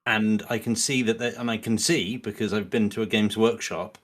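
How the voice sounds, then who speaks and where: A middle-aged man with a fairly low voice chats casually as a podcast guest. He speaks earnestly and haltingly, reworking his sentences as he thinks aloud. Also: fairly even intonation.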